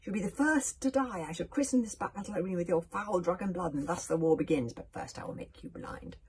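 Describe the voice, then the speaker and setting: A woman's voice: medium pitch, big pitch swings, fairly quick, creaky, in a whisper. A woman voicing a brutal, merciless male villain king from a storybook, sneering and gloating as he declaims with menace. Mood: menacing.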